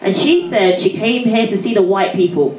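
A woman's voice: medium pitch, moderate pace. A young woman from Barbados with a British accent, doing a stand-up set on a small club stage into a handheld mic, with a punchy delivery and teasing the crowd. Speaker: stand-up comedian. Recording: roomy club sound, far and dull. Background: loud crowd laughter.

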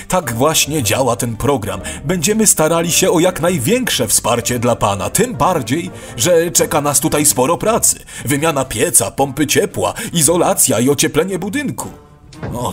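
An actor playing a patient but exasperated, practical neighbour who explains things emphatically in a radio play. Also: male voice, mid-range voice. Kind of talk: scripted radio play dialogue.

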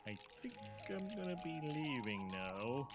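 Loud music plays behind a dim-witted middle-aged cartoon husband with a thick, doughy voice. His pitch sits high and leaps wildly from low mumbles to goofy yelps. He is excitable and easily muddled. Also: a little slow. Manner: goofy, exclamatory, swinging from mumbling to yelping. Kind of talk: animated comedy acting.

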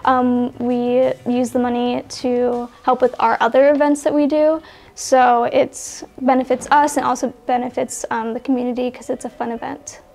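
A teenage girl with a high, fairly flat voice invites everyone along. She speaks eagerly and keeps her tone even. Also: moderate pace, vocal fry. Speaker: student club member.

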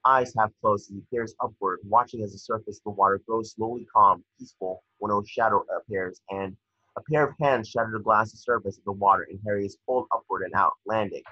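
Young male narrator for screenplay directions: husky, forceful, dry and slightly muffled. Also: fairly low pitch, steady tone, a little fast, no room sound. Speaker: narrator reading stage directions. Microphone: computer mic on video call. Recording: dull, dry video-call audio.

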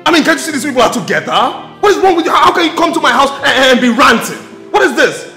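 Stammering, cornered man, swooping pitch. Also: huge pitch swings, normal speed, a bit bright, reverberant, soft background music. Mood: indignant shouting. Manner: stammering, repetitive. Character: cornered, evasive two-timing man, flustered and defensive. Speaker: actor in a film drama. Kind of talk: melodramatic film acting.

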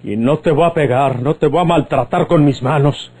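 A man's voice: a little high, normal speed, darkish tone, breathy edge. An actor playing a hot-tempered, menacing bandit father in an old radio drama, with a Mexican accent and theatrical rustic speech. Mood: angry, threatening.

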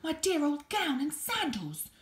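A woman's voice: sing-song, measured pace. A woman voicing George, a cheerful kind giant. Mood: dismayed. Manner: bright, sing-song, louder and higher than her narration. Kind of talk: storybook character voice.

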